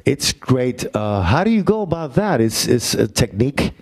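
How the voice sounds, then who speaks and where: A middle-aged man with a Puerto Rican accent, a playwright answering audience questions on a university stage into a handheld mic, halting, with many fillers. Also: mid-range voice, very animated. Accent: Puerto Rican Spanish. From Puerto Rico. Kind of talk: Q&A talk after a play.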